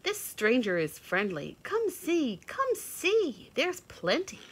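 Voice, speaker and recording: A woman's voice: sits high, wildly swooping pitch, slow, slight background noise, pitched above the performer's own voice, full character voice. A woman voicing a tiny, bold chickadee with a chirpy, sing-song voice. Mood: eager.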